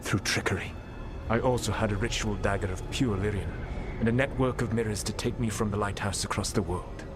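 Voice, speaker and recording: An actor playing an ancient, proud elven rebel god in a game, speaking in a measured, formal, grave way. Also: male voice, fairly low pitch, steady tone, lightly acted. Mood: grave, dry. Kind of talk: dramatic game dialogue. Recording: loud music behind the voice.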